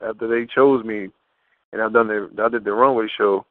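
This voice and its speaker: A man's voice: fairly low pitch, fairly even intonation, normal speed, no echo. A man giving a casual interview as a guest over the phone, talking informally with slang and rephrasing himself as he goes. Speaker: interview guest, fashion model. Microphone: telephone. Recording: muffled narrow phone line.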